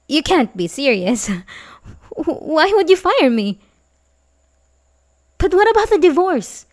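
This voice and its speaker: A woman playing an obsessive, manipulative young babysitter in love in an ASMR roleplay, halting and pleading with soft menace. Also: high-pitched, full character voice. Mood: disbelieving, indignant. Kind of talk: ASMR roleplay audio drama, addressing the listener.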